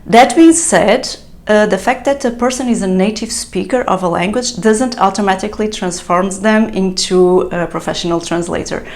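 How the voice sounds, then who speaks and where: A woman's voice: medium pitch, moderate pace, darkish tone. A woman whose native language is European Portuguese, a translator explaining tips in a casual vlog, with frequent fillers and restarts. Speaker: translator giving business advice. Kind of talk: solo talking-to-camera vlog.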